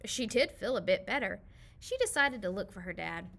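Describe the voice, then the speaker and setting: A woman reading a children's picture book aloud, with expressive storytime narration. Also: mid-range voice, moderate pace, gravelly.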